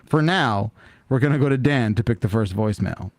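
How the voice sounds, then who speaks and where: A man's voice: mid-range voice, animated, brisk, no echo. A man hosting a call-in podcast in casual chat, wry and joking, often restarting mid-sentence. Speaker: podcast host.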